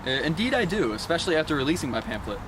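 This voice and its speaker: A man's voice: a little high, very animated, very fast. A man playing an earnest, resolute colonial statesman, giving formally worded, scripted interview answers. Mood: earnest, defiant. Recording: noisy amateur outdoor recording, traffic.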